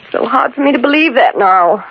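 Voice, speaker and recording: An actress playing a distraught, anxious widow haunted by a curse, pleading in a 1940s radio mystery style. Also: female voice, a little high, expressive, fairly quick. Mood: fearful. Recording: muffled, noisy 1940s radio recording.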